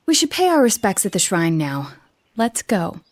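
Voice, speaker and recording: A reserved young detective girl in a video game. She is modest and easily embarrassed, speaking politely and carefully at a medium pitch, with a slight hesitance. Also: sing-song, moderate pace. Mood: earnest, gentle. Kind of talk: video game dialogue acting.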